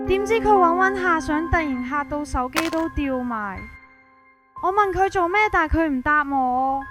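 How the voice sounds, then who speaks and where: A woman with a Hong Kong Cantonese accent narrating a scripted first-person ghost story. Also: extremely high pitch. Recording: prominent music behind.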